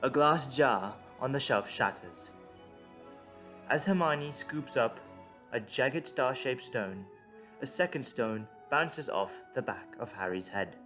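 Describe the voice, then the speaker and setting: A man's voice: mid-range voice, lively intonation, slow pace, a bit bright. A man reading scripted dramatic narration as the narrator of an audio drama. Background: music.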